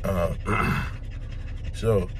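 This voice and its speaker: A man, chatting casually to viewers about his dog while sick and losing his voice, clearing his throat often. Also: deep voice, fairly even intonation, very slow, very noisy. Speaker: dog breeder vlogger. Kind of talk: casual vlog to camera. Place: parked car. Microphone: phone held at arm's length.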